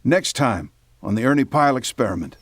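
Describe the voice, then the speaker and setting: A man's voice: medium pitch, expressive, fairly quick. A man announcing the show in an old-time radio style.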